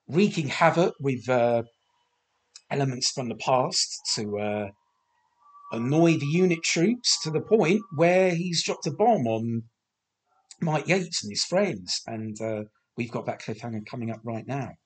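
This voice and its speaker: A man hosting a podcast, chatting through a TV commentary with a slightly croaky voice, rambling and hesitant with many fillers and restarts, self-deprecating. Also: mid-range voice, expressive, measured pace, poor audio. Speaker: podcast host. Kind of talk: casual film commentary.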